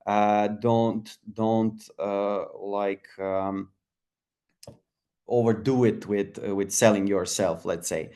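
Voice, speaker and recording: A man from Croatia gives a casual webinar talk, pausing often with fillers and restarts. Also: lowish voice, steady tone, warm tone. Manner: halting, many fillers and restarts. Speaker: webinar speaker.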